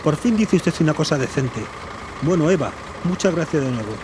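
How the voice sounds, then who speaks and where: A man's voice: a little high, expressive, brisk, background noise, close to an everyday voice. An actor playing an exasperated but polite office worker in an audio drama, the dry straight man. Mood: polite, rueful.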